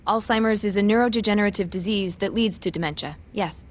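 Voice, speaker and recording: An actress playing a polite, precise female hospital staff worker who explains things in a calm, informative way, in a game scene with faint music behind. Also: mid-range voice, fast talker, natural voice. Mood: explaining. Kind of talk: game dub acting.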